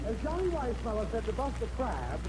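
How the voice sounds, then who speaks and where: A man's voice: very high voice, wildly swooping pitch, brisk, full character voice. An actor playing a self-amused, wisecracking shop salesman in a 1940s radio comedy. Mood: jokey. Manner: corny gags, laughing at himself. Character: jokey, self-amused sporting goods salesman. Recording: noisy old radio recording. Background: rain sounds.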